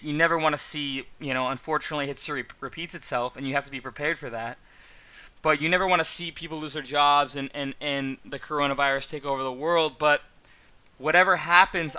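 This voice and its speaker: Young guy on a headset mic rambling about trades, bit stuffy sounding, bright clear voice. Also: a little high. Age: 20s. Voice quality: slightly stuffy nasal. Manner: rambling, repeats words, emphatic asides. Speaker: day trader streamer. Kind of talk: casual market commentary. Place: small room indoors.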